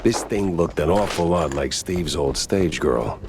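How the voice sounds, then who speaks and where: An actor playing a hard-boiled, wisecracking private detective in a cartoon noir game, with a gravelly voice and dry, slangy muttering. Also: male voice, low-pitched, animated, a little slow, very noisy. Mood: dry, wary. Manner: dry, sardonic muttering with old-fashioned slang. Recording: music playing behind the voice.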